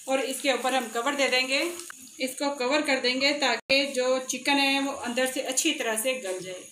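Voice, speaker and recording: A woman's voice: sits high, sharp tone. A woman, hosting a cooking channel and narrating a recipe step by step, addressing viewers directly from a home kitchen. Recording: echoey room with faint background noise.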